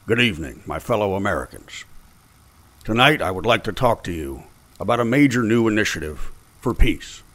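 Low-voiced, pompous American president. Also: male voice, expressive, normal speed, a bit bright. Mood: stately. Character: irritable, paranoid American president, stately on air. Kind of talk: audio drama acting.

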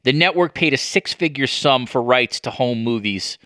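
Bright-voiced male podcast interviewer. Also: medium pitch, lively intonation, normal speed, no echo, clean studio sound. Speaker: podcast host, sports journalist. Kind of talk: conversational interview.

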